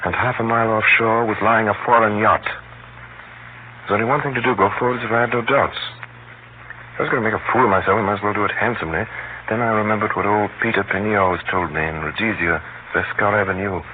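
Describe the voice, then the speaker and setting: An actor playing an adventurous gentleman hero who tells his own tale with deliberate suspense, in 1930s radio drama style. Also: fairly low pitch, fairly even intonation, lightly acted. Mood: brooding, tense. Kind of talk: 1930s radio acting, first-person narration. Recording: noisy, tinny 1930s radio broadcast.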